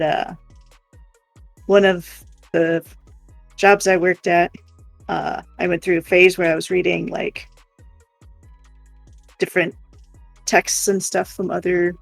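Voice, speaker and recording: A woman's voice: on the low side, vocal fry, no echo. A woman co-hosting a livestream in casual, rambling chat. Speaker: livestream co-host.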